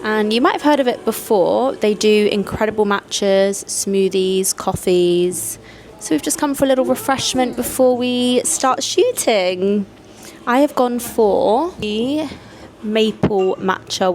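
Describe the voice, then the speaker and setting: A woman's voice: medium pitch, lively intonation, slow, a little mellow, faint music behind. A young woman, chatting casually to camera for her vlog, upbeat and full of "like" and "so". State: voice going, getting sick. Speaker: vlogger.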